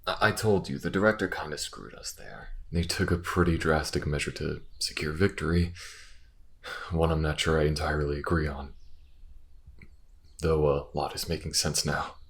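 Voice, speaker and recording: A man playing a gruff alien soldier who gets easily flustered and halting, in a scripted audio roleplay. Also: extremely low pitch. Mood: grim, wary. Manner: halting, stammering when flustered.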